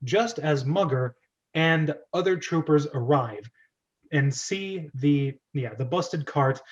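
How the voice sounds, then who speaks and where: Animated, higher-voiced man hosting a script reading. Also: slow pace, lo-fi sound. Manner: playful, self-interrupting, chatty. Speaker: host and narrator of script reading club. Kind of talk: casual amateur script reading with commentary.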